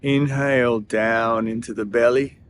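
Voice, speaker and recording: A man leading a guided breathing meditation in a soothing, gentle manner, leaving long pauses between phrases. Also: mid-range voice, fairly even intonation, slight background noise.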